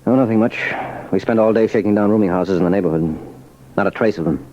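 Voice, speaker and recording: Man playing hard-boiled undercover cop, real low voice, talks fast, old muffled radio drama. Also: warm tone, lightly acted. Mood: businesslike. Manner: terse, businesslike, hard-boiled. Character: cool undercover police sergeant posing as crook. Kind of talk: 1940s radio drama acting. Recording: muffled, faintly noisy old radio recording.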